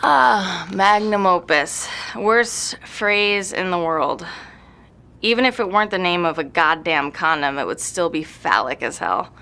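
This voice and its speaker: An actress playing an intense, abrasive composer with grand ideals, sardonic and casually profane, in a naturalistic audio drama. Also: female voice, on the low side, animated, a little slow, gravelly, pushed and bright, heavily acted. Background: music playing in the room.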